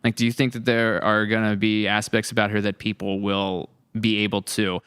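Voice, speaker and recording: A man's voice: lowish voice, little pitch movement, moderate pace, fairly bright tone, smooth. A man hosting a casual podcast interview in an enthusiastic, joking manner. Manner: enthusiastic, jokey. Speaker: podcast host.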